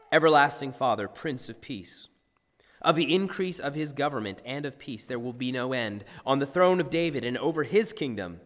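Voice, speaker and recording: Hoarse-voiced pastor preaching in a reverberant hall. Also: male voice, mid-range voice, a bit bright. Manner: conversational, self-deprecating humor, occasional restarts. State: hoarse, husky voice today. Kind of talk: sermon with scripture reading and prayer. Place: church sanctuary. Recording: long church hall reverb.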